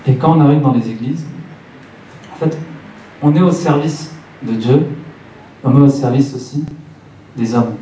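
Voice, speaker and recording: Guy in his late 30s preaching a sermon, mellow tone, repeats stuff to drive it home, bit far from the mic w faint noise. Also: medium pitch, unhurried, long pauses. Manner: didactic, repeating phrases for emphasis. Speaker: pastor preaching in church. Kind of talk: Pentecostal sermon with pauses and repeated phrases. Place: church hall, preaching to a congregation. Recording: roomy church, distant mic, faint noise.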